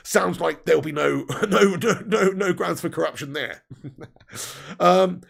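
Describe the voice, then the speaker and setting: A young man hosts a football fan live stream, reading out chat comments and ranting with plenty of swearing. His voice sits a little high for a man, yet it is croaky and deeply gravelly from tiredness. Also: slow pace. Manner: heated, sweary ranting with laughs. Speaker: football fan channel host.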